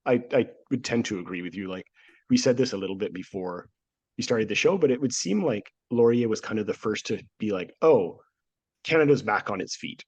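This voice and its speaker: A man's voice: lowish voice, animated, a little fast, a little mellow, slightly rough. A man hosting a casual history podcast, talking through a cold that nearly took his voice, joking and laughing often. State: nearly lost voice, sick. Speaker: podcast host. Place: home studio, remote.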